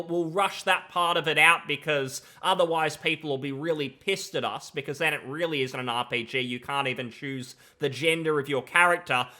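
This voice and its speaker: Young guy ranting about games, highish bright voice, emphatic and disapproving, close mic. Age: young adult. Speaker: gaming commentator. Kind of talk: critical opinion commentary. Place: small room at home. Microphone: close desk microphone, headset on.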